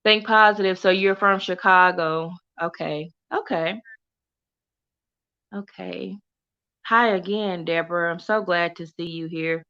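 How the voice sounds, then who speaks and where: Lively Texan woman livestream host. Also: fairly low pitch, unhurried, smooth, lo-fi sound. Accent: Southern US, Texas. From Texas. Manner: animated, laughs often, chatty and warm toward viewers. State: coughing from allergies. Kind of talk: casual livestream chat reading comments.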